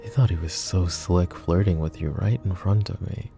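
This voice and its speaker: A very low, gravelly mafia boss, acted by a man, speaks softly and deliberately with menacing devotion. He is possessive and protective, in an intimate ASMR roleplay with faint music behind him. The tone is dark and mellow, often sinking toward a whisper. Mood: smug. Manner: hushed, deliberate, tender, often dropping to whispers.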